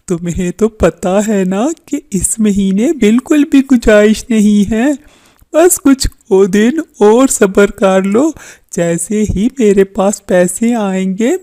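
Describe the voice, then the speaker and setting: A woman's voice: mid-range voice, dark tone. A woman voicing a kindly, patient father bird in a children's cartoon, soothing and coaxing. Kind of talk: Hindi children's cartoon character voice.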